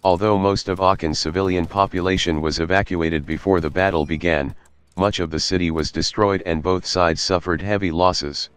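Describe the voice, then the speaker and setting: A man's voice: low-pitched, fairly even intonation, moderate pace, clean tone, dry recording. A man reading formal, scripted history documentary narration.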